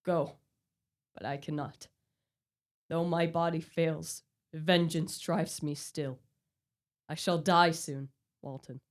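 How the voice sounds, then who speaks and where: A woman's voice: low-pitched, steady tone, slow pace, long pauses. A woman playing a dying, vengeful male scientist in a radio play, declaiming with solemn, melodramatic anguish. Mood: dying despair. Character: dying, vengeful male scientist obsessed with hunting his creation. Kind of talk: theatrical radio play acting.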